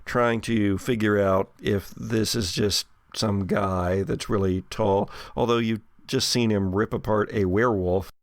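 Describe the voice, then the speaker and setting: A man working as game master, improvising descriptive horror narration for a tabletop role-playing game in a halting storyteller's way. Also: lowish voice, fairly even intonation, no echo. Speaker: game master (the Keeper) of an actual play podcast.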